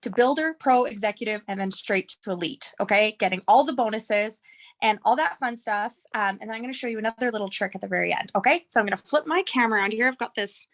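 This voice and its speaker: A woman's voice: fairly high pitch, fast, a bit bright. A woman on a video call, bubbly and enthusiastic as she starts her part of a team presentation.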